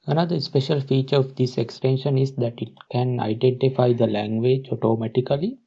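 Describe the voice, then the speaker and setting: Dark, husky-voiced man giving a tutorial. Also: medium pitch, fairly even intonation, brisk, lo-fi sound. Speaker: software tutorial presenter. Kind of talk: step-by-step screen tutorial narration.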